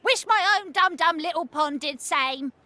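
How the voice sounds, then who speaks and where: A woman's voice: extremely high pitch, measured pace, bright, forceful tone, no echo, heavily acted. A woman voicing a small merchant creature who talks in broken, cutesy pidgin. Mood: chirpy. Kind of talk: video game voice acting.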